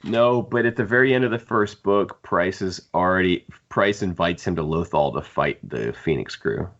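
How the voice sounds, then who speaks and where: Guy with a really deep creaky voice rambling casually on a fan podcast. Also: moderate pace, a bit bright, muffled recording. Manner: rambling, conversational. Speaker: podcast co-host.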